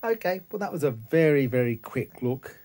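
A middle-aged Australian man giving a casual, unscripted hands-on demo in halting phrases, recorded on a handheld phone indoors. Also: medium pitch, animated, darkish tone. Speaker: retro computer hobbyist presenter. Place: small cluttered room indoors.

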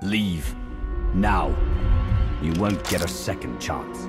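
An actor playing a cool, commanding male Sith lord in a video game, curt and measured in manner. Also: low-pitched, animated. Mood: menacing. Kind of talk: video game cutscene acting. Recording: music under the dialogue.

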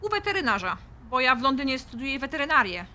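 A young student fiancée in an audio drama. Her voice is fairly high, bright and lively, and she is polite and keen to smooth things over. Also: fast talker, full character voice. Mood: polite, assured. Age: young adult. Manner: polite, conciliatory.

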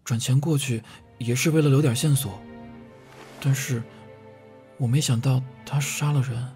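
Guy acting gentle reserved student in an audio drama, mellow soft voice, earnest, faint music. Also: mid-range voice, fairly even intonation, moderate pace, close to an everyday voice. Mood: earnest, explaining. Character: gentle, reserved male student, shy young lover.

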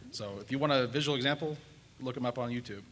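A man with a mid-pitched voice talks casually on a panel. The hall echoes, with faint noise in the room. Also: fast, fairly bright tone. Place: large convention hall.

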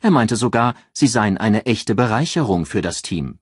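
A man's voice: on the low side, lively intonation, normal speed, husky, no echo, studio quality, voice pushed up. A man voicing a self-assured career woman in an audiobook, with a cool, drawling, ironic and taunting manner. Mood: composed.

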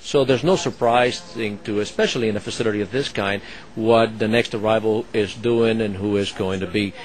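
A man who supervises air traffic controllers and flies, explaining the work in a halting way, with many fillers and restarts. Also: lowish voice, fairly even intonation, low-quality recording. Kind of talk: explaining his work to an interviewer.

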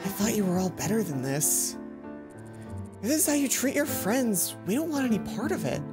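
Man voicing fiery high-pitched teenage schoolgirl. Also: sing-song, moderate pace. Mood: indignant. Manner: indignant, emphatic, raised voice. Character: fiery, protective teenage schoolgirl, quick to scold. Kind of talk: visual novel character reading. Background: game music.

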